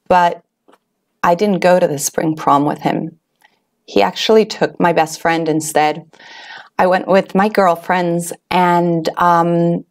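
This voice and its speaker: A middle-aged woman from Switzerland hosting a webinar, telling a personal story in an earnest, confiding way with fillers and restarts. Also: lowish voice. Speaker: webinar host. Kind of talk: personal story told to an online audience.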